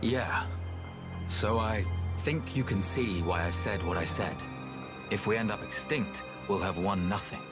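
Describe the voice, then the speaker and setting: An actor playing a weary, level-headed male researcher in a video game, speaking in a measured, matter-of-fact way. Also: fairly low pitch, close to an everyday voice. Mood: grave, resolute. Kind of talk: video game cutscene acting. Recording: music behind the voice.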